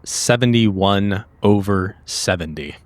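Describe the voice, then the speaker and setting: A man playing a tabletop game, describing his character's actions in a thoughtful, halting way. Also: lowish voice, no echo.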